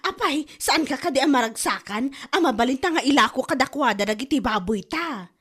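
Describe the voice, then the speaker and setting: Comedy village wife, played by a woman: Ilocano accent, high-pitched, very animated, gossipy and scheming. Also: a little fast, slightly rough, full character voice. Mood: gleeful scheming. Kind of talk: comedy audio drama acting.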